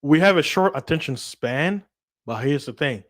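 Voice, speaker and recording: A man's voice: fairly high pitch, lively intonation, normal speed. A man from Central Africa whose first language is Lingala, talking to a livestream audience in a casual, emphatic monologue full of repetition, restarts and rhetorical questions. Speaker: livestream host.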